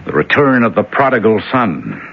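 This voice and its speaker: An actor playing a skeptical, probing grown son in a 1970s radio drama. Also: male voice, fairly low pitch, wildly swooping pitch, normal speed, gravelly, husky, full character voice. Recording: lo-fi vintage radio broadcast.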